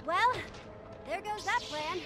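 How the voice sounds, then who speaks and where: A woman's voice: extremely high pitch, takes it slowly. A woman playing a determined young senator in a game cutscene, assertive and dry. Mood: wry. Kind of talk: video game cutscene acting. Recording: loud music behind.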